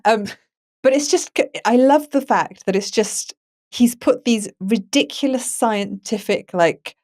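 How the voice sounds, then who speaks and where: A woman's voice: a little high, measured pace, darkish tone. A woman hosting a podcast, talking casually and with enthusiasm. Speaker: podcast host. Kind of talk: casual discussion with passages read aloud.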